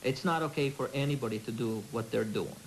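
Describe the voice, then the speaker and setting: A man's voice: medium pitch, a little fast, rough recording. A man interviewed for the news, speaking earnestly and with frustration. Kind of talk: interview soundbite.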